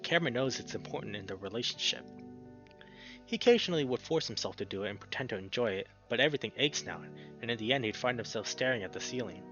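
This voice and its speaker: A man narrates a visual novel in a dramatic read-aloud style at a middling pitch. The recording is a little dull, with guitar music playing underneath. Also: bright, forceful tone.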